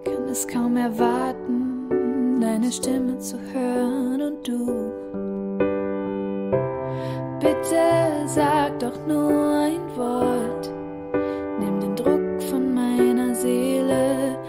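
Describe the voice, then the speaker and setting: A woman singing a slow sentimental love ballad. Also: high voice, steady tone. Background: piano-led musical accompaniment.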